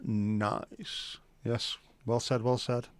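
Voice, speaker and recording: A middle-aged Scouse man hosts a relaxed livestream, bantering with viewers and swearing casually as he tells stories. His voice sits at an ordinary middle pitch, and the sound is close and dry with no room around it. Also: extremely slow, smooth, dry recording. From Liverpool, England. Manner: banter-filled, sweary, jokey. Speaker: livestream host. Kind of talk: casual livestream chat reading viewer comments.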